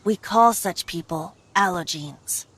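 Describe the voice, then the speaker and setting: A woman's voice: fairly low pitch, slow pace, fairly bright tone, a little hiss or hum. A woman voicing a wistful, playful young male bard in a video game cutscene, with an airy, wry manner. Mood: confiding, wry. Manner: airy, musing, lightly wry explaining.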